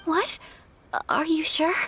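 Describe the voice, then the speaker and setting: A woman's voice: extremely high pitch, steady tone, slow. A woman playing a polite, earnest and slightly shy young noblewoman in an anime game, speaking in a courteous, slightly formal way. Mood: polite, warm. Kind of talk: anime video game voice acting. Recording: music behind the voice.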